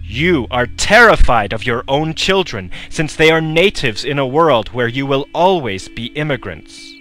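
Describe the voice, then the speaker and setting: A man gives a scripted dramatic reading of a declaration in a solemn, deliberate, oratorical manner. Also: mid-range voice, lively intonation. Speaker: reader of a written manifesto. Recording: voice over a loud music bed. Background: loud music.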